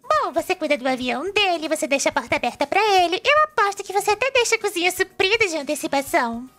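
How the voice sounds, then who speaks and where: A woman voicing a perky, sassy young female hedgehog guesting on a cartoon web show, bubbly and expressive, with a Brazilian accent. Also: very high voice, fast, soft background music, heavily acted. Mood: chatty.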